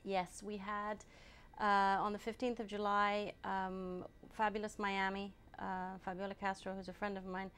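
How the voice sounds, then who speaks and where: A woman born in England, answering interview questions at a steady, measured pace and often pausing on ums. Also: mid-range voice, smooth. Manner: measured, hesitant with many ums. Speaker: guest, group founder.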